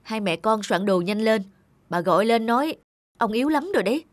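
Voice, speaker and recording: An actor playing an earnest middle-aged father in an animated film, urging and reproachful. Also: medium pitch, fairly quick, no echo, lightly acted. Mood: urgent. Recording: music under the dialogue.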